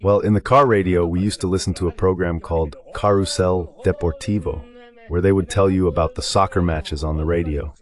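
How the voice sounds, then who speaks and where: A man's voice: sits low, steady tone, brisk. A man giving explanatory, conversational commentary on a war as a guest analyst in a dubbed live talk.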